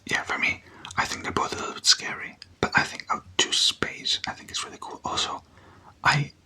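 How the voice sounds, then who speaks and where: A person doing casual, playful ASMR in a breathy whisper, chatting and putting questions to the listener. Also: brisk, sharp tone. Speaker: ASMR content creator.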